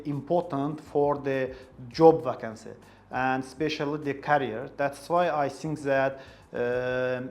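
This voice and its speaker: Even-toned man. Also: medium pitch. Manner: hesitant, repeats phrases while searching for words. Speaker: English club host, interviewer. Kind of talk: club discussion in a second language.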